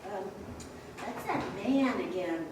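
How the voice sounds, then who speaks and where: A woman playing an eager, gentle office clerk in an old-time radio play on stage. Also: mid-range voice, expressive, slow. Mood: awed, helpful. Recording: distant echoey noisy stage recording.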